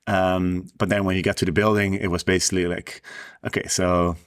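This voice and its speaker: Man, 30s, lowish voice, relaxed webcam chat with lots of ums. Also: fairly quick. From Netherlands. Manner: relaxed, frequent "um" and "uh" fillers. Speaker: indie app developer, co-host. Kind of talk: casual video-call chat. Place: indoors by wooden doors. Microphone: video call webcam.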